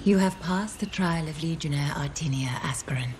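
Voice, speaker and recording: Game casting: woman plays ancient tomb guardian spirit, solemn and ceremonial, slightly low voice in a long echoing hall, faint music. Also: moderate pace, noisy background, natural voice. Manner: formal, ceremonial proclamation. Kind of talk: video game acting.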